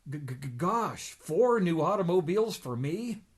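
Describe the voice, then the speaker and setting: Man playing comic-book uncle duck, middle-aged, excitable, grumbling, exclamatory. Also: high-pitched, normal speed, slightly rough, voice pushed up, exaggerated voice. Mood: excited. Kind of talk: comic book read aloud.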